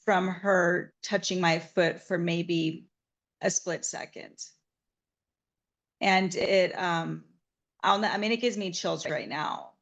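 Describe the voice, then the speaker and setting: A woman's voice: fairly low pitch, steady tone, slow, a bit bright. A woman from Southern Nevada, a massage therapist, telling her story in an interview in an earnest, reflective way.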